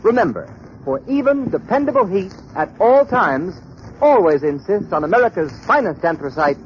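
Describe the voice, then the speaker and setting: A man's voice: sits high, very animated, moderate pace. A man announcing a sponsor's advertisement in an emphatic, scripted 1930s radio style. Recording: muffled, noisy 1930s radio broadcast recording.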